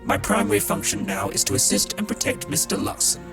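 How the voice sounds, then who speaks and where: A man's voice: sits high, steady tone, brisk, creaky. A man playing a polite, dutiful Victorian robot butler in an audio drama, speaking with formal deference. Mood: dutiful, alert. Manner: formal, deferential servant's speech. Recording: music bed under the voice.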